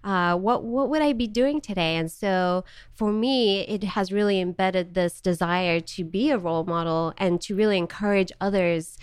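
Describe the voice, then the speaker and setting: A woman's voice: mid-range voice, normal speed, clean tone, no echo. A middle-aged woman from South Africa, talking casually as an interview guest with frequent fillers and laughs. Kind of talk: conversational interview.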